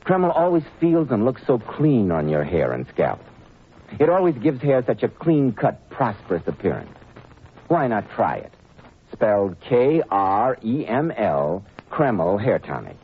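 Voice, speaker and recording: Low husky old-time radio announcer man. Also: sing-song, a little slow. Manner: smooth salesman pitch, emphatic spelling out. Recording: tinny old radio broadcast.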